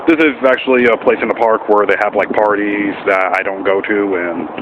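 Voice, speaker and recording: A man chats casually and candidly as a vlog host, rambling a little as he explains. He is recorded outdoors, and the sound is somewhat dull with clear background noise. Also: fairly low pitch, steady tone, a little fast. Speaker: amateur voice actor, video host. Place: outdoors in a public park.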